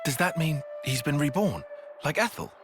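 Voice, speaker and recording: A man's voice: fairly high pitch, expressive, normal speed, over music, lightly acted. A man voicing a cautious, analytical young soldier in a video game, precise and serious in his speech. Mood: puzzled. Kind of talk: video game cutscene voice acting.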